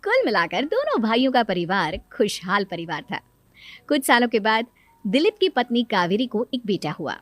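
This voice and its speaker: A woman's voice: a little high, very animated, normal speed, dry recording. A woman narrating a scripted children's story, with music behind her. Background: background music.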